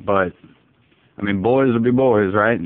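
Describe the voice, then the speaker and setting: A man playing a folksy, easygoing, bewildered dad, rambling deadpan on a prank phone call. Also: fairly low pitch, measured pace, no room sound, natural voice. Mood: resigned, musing. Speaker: prank caller. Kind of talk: casual phone prank, improvised. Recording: muffled narrow phone line.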